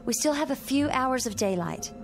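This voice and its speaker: A woman's voice: high voice, lively intonation, moderate pace, music in the background. An actress playing an anxious young woman stranded in an eerie empty town in a radio drama, speaking naturally and hesitantly. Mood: uneasy.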